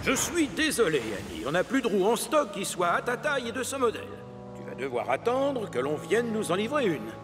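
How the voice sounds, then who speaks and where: Casting: apologetic workshop engine, high sing-song cartoon voice in a reverberant space, music behind. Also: male voice, huge pitch swings, a little fast. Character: apologetic male railway repair engine. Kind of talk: animated children's series dub.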